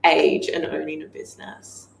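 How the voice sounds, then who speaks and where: A woman's voice: low-pitched, monotone, normal speed, vocal fry, a little hiss or hum. A woman co-hosting a casual podcast chat, easygoing and teasing, steering the talk with questions. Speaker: podcast co-host. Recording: echoey room.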